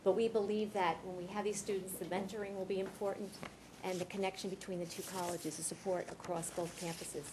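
A woman heading a nursing department, answering board questions in a loud voice full of hesitant ums. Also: mid-range voice, little pitch movement, fairly quick. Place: large conference room. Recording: noisy meeting room.

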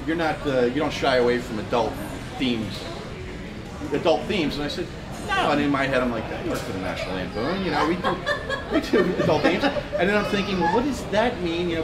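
A man's voice: a little high, very noisy. A man in his fifties giving a casual, self-deprecating talk at a meetup, laughing often as he tells stories. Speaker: guest speaker. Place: meetup room in a store, in front of a screen. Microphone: far camera. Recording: echoey room with loud ambience.

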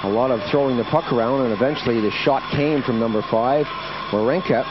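A man from Canada calls an ice hockey game in a steady, conversational manner. His voice sits at an ordinary pitch and comes through dull broadcast audio with noise clearly heard behind it. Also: brisk. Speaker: play-by-play commentator. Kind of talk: sports commentary. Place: commentary booth at an ice hockey arena.

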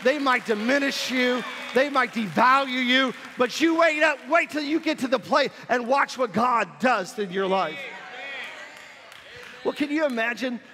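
Male pastor, high voice, emphatic sermon delivery, shouting at the peaks, long church reverb. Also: a little slow, a faint crowd, a little hiss or hum. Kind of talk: sermon with call-and-response. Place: church sanctuary.

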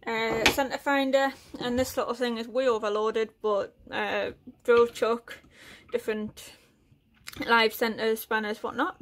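A woman's voice: on the high side, a little slow, background noise. A woman from the UK giving a casual tour of her small shed workshop, listing tools with lots of fillers while filming on a handheld phone. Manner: relaxed, listing items with frequent fillers. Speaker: woodworking vlogger.

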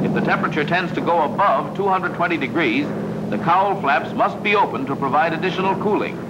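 An American man narrates a 1940s training film with precise, instructional delivery. His voice sits a bit high and moves in lively up-and-down inflections. The old soundtrack is very noisy, with engine drone behind him.

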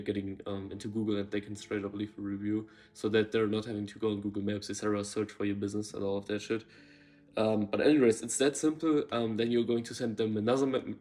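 A young man in his twenties, a consultant for martial arts schools, giving casual talking-head advice from a room in his flat. He talks loosely, with many ums and restarts. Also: on the low side. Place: bright room in a flat, indoors.

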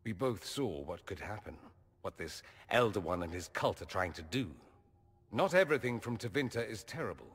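A man's voice: fairly low pitch, lively intonation, moderate pace, a bit bright, big-room sound. An actor playing a witty, vain and charming young mage nobleman in video game dialogue, teasing and theatrical in manner. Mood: earnest. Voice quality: arch, ironic wit. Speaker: mage companion.

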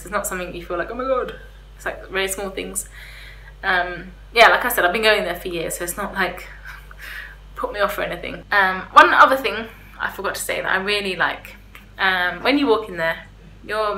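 Young female vlogger, casual and a little hesitant, bright tone, recorded in an echoey room. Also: mid-range voice. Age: young adult. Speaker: vlogger reviewing restaurants. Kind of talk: casual chat to camera. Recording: roomy echo, faint background hiss.

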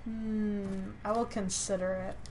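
Slow-paced woman chatting over a game. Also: medium pitch, flat delivery. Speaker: co-op gaming streamer. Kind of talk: casual gameplay chat. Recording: clear background noise from game stream.

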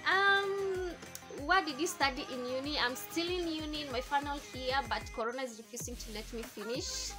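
Chatty young Kenyan woman, high voice. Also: expressive, moderate pace, over music. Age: 20s. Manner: chatty, repeats herself, answers questions one by one. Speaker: vlogger. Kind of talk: casual chat to camera. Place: indoors in front of a patterned backdrop. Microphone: camera facing her at close range.

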